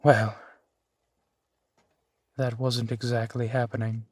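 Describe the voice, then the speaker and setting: A man's voice: medium pitch, level tone, slow pace, breathy edge, no echo. A man voicing a suave, genteel vampire centuries old, speaking unhurriedly and formally to the listener in an intimate audio roleplay. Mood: teasing, amused. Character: suave male vampire, four centuries old, genteel, playfully amused host.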